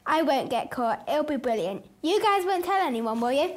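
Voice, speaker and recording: A woman's voice: high voice, big pitch swings, moderate pace. A woman playing an eager, bossy schoolgirl who leads her friends in a radio play. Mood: excited, scheming.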